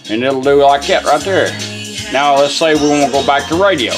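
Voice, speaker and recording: Male product demonstrator, informal and folksy, medium pitch, casual hands-on walkthrough. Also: fairly quick, faint music behind. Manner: folksy, informal, talks to the gadget.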